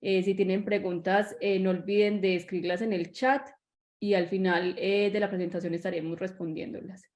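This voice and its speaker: A woman's voice: medium pitch, flat delivery, normal speed, darkish tone, muffled recording. A woman real estate agent hosting a webinar over a video call, speaking hesitantly with many fillers and restarts. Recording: echoey room on video call.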